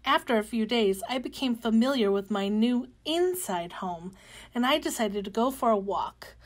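A woman voicing a cheerful, wide-eyed young caterpillar turned butterfly in an expressive, gentle children's storybook reading. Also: fairly high pitch, normal speed, lightly acted. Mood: gentle wonder. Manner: gentle, expressive, with excited exclamations. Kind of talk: animated read-aloud of children's picture book.

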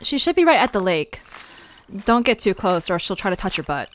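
A woman's voice: mid-range voice, sing-song, brisk, a bit bright, a little hiss or hum. A woman acting a cautious, sarcastic young girl in an audio drama, casual and exasperated.

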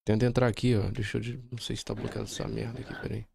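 A man's voice: fairly low pitch, little pitch movement, rapid-fire, gravelly, noisy background. A young man with a Bahian Brazilian accent, chatting casually and swearing freely with friends while streaming a game on a headset. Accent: Bahian Brazilian Portuguese. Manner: laid-back, swears freely, banters with friends. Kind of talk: casual gaming livestream chat.

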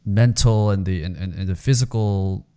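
A man's voice: low-pitched, moderate pace, dark tone, clean tone. A young man hosting a podcast, asking questions hesitantly into a close studio microphone, often repeating words. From South Korea. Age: young adult. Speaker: podcast host. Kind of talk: interview questions. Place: home room.

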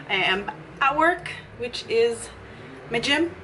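A woman's voice: a little high, animated, slow pace, bright, forceful tone, slight background noise, poor audio. A young woman chatting casually in a vlog from a small office, upbeat and often laughing as she talks. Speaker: fitness vlogger and gym owner. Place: small office with leather couch, indoors.

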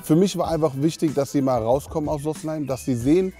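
A man's voice: on the high side, fast, mellow, faint music behind. A man, a project organiser, giving a thoughtful interview statement.

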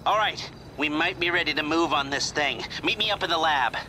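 An actor playing a shrewd, cautious middle-aged heist planner in a video game, wry and measured. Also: male voice, fairly high pitch, close to an everyday voice. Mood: guarded. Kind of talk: video game dramatic acting. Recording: game audio with clear background noise.

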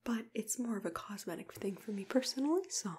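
A young woman doing an ASMR roleplay as a caring dentist, mostly whispering in a gentle, reassuring way, close to the microphone. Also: medium pitch, lively intonation, a little fast. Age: young adult. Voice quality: breathy, hushed, mostly whispered. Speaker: ASMR creator playing a dentist.

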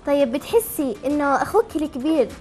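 A woman's voice: high-pitched, sing-song, fast talker, darkish tone, soft background music. A woman hosting a chatty live TV call-in, asking simple, encouraging questions. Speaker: TV show host.

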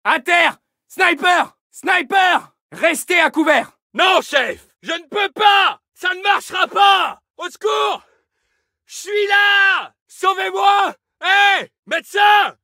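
An actor playing a fierce, urgent infantry soldier in a video game, shouting short battle lines with a strained, forceful voice. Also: male voice, very high-pitched, lively intonation, slow, no echo, clean studio sound, exaggerated voice. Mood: panicked, pleading. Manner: urgent, aggressive, short exclamatory bursts.

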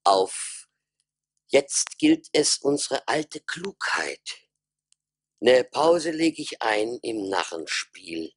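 A man's voice: medium pitch, very animated, very slow, breathy edge, exaggerated voice. An actor playing a self-important wise townsman, solemn and declamatory in a radio play. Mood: grandly resolute. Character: self-important wise man of a town of fools. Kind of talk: stage-like radio play acting.

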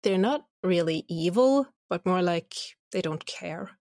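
A woman's voice: fairly low pitch, lively intonation, a little slow, a little mellow, no room sound, studio quality. A woman chatting casually as an interview guest, with a wry, playful manner. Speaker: audio drama creator, podcast guest.

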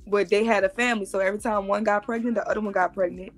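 A woman's voice: medium pitch, steady tone, very fast, soft background music. A woman in her thirties, joining a live stream over a video call and explaining things emphatically, often repeating herself. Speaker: guest.

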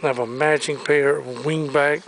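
A man with a slightly high voice walks a big warehouse showroom, rattling off furniture and collectibles in an upbeat salesman's patter. He is recorded on the camera he carries, with a faint hum of the space behind him. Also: fairly even intonation, fairly bright tone. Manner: listing items briskly, upbeat salesman patter. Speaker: auctioneer. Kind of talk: walkthrough preview of auction items.

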